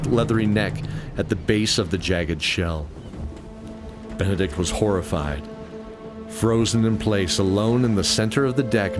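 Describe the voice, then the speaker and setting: A man narrating a radio play in a deliberate, descriptive, dramatic storytelling style. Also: sits low. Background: music bed.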